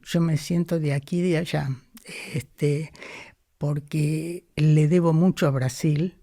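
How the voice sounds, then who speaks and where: A woman, talking slowly and thoughtfully as a guest in an interview. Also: sits low, steady tone, dark tone, no room sound, clean studio sound. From Argentina. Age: 80s. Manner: unhurried, thoughtful, frequent hesitations. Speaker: guest, psychoanalyst. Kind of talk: reflective interview conversation.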